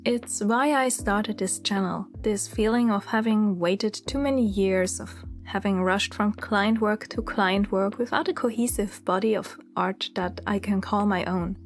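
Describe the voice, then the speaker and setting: A woman in her thirties with a Swiss German accent, narrating her story in a candid, chatty, reflective voice-over. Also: medium pitch. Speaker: illustrator and channel host.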